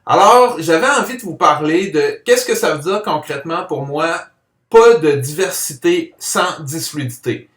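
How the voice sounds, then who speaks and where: A man's voice: fairly high pitch, unhurried, fairly bright tone. Male, Quebec accent, mild on-and-off stutter, personal testimonial, distant roomy recording. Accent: Quebec French. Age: adult. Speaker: person who stutters giving a testimonial, comic book author. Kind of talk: personal spoken testimonial to camera. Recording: roomy echo, distant microphone.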